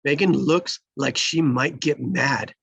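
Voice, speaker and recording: Gravelly, husky screenplay narrator. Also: mid-range voice, takes it slowly, fairly bright tone, dry recording. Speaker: stage-direction reader. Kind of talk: scripted narration, screenplay table read.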